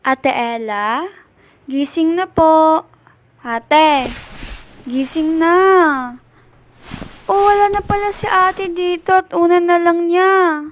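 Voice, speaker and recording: A woman's voice: very high-pitched, fairly even intonation, slow pace, long pauses, a little hiss or hum. A woman playing a worried young girl, the youngest sibling, in an amateur radio drama. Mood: anxious, puzzled. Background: background music.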